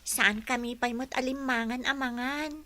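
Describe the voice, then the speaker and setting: A woman's voice: a little high, steady tone, normal speed, pushed and bright, no room sound. A woman playing a dutiful schoolgirl from a poor family in an Ilocano radio drama, with a Filipino accent. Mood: earnest, respectful.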